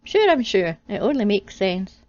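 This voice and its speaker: A woman's voice: medium pitch, big pitch swings, moderate pace, a little mellow, close to an everyday voice. Woman doing Adam, a nervous, stammering first man. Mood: excited. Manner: hesitant, stammering when nervous. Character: bumbling first man, nervous and blunt husband. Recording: dull lo-fi recording, dry.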